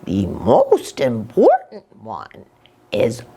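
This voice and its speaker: A woman's voice: rumbling low, extremely slow, breathy edge. Lady reading a picture book to little kids, super sing-song up and down, takes her time. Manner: animated, with short asides to young listeners. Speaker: children's storybook reader, pastor. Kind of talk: expressive read-aloud for young children.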